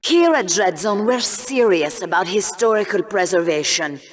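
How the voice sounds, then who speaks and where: An actress playing a gleefully sadistic co-anchor of a combat TV show in a video game, with polished presenter delivery and menacing relish. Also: female voice, mid-range voice, expressive, moderate pace, natural voice. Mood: morbid relish. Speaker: sports show co-host. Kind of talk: mock newscast commentary.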